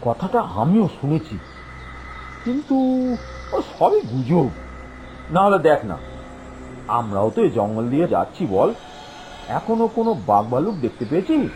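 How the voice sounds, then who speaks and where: A man's voice: fairly high pitch, huge pitch swings, moderate pace, pauses often, a little mellow, a little hiss or hum, low-quality recording. A man playing a brash, dismissive young male friend in a children's cartoon story, with scoffing, exaggerated delivery. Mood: scoffing, bossy. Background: background music.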